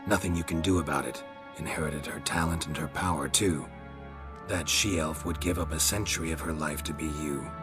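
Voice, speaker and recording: An actor voicing a stoic, protective monster hunter in a fantasy video game, gruff, terse and dry. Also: male voice, a bass voice, fairly even intonation, normal speed, music in the background. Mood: calm, reassuring.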